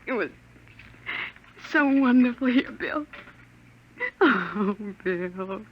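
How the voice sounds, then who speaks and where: An actress playing a fearful, fragile young wife on the run, halting and emotional, in 1950s radio drama style. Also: female voice, a little high, lively intonation, muffled recording. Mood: anguished. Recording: faintly noisy vintage radio recording.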